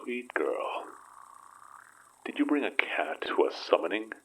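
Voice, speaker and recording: A bereaved father in an intimate horror audio drama. His voice is very deep and breathy, and he speaks slowly and haltingly, weary with regret over his lost son. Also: sing-song, a bit bright, in a whisper, exaggerated voice, low-quality recording. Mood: tender shock. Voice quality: husky, breathy low voice.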